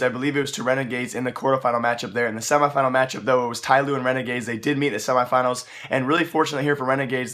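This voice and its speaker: A hoarse young man hosting an esports news show, talking through the stories in a quick, rambling way. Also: mid-range voice, a bit bright. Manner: rapid, rambling, many fillers. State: losing his voice, hoarse. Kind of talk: fast news commentary.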